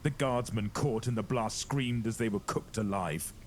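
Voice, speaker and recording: A man's voice: lowish voice, moderate pace, a little mellow, slight background noise. A man narrating a scripted horror audio drama in a dramatic storytelling style.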